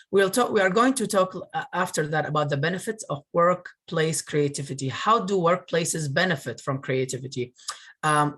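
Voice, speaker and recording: A man's voice: a little high, fairly bright tone. Earnest man presenting a webinar. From northern Iraq. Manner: earnest, grateful, many fillers. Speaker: webinar presenter, career coach. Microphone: video call.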